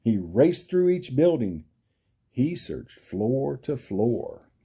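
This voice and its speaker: A man reading a picture book aloud to children, emphatic, deliberate and theatrical. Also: mid-range voice, sing-song, mellow, husky. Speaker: storybook reader for children. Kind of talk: animated read-aloud storytelling.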